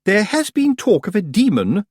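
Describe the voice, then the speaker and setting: A man voicing a pompous, falsely polite middle-aged woman witch with a high, sugary voice. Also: huge pitch swings, moderate pace, husky, no room sound, clean studio sound, full character voice. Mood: falsely sweet. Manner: falsely polite, gushing, grave.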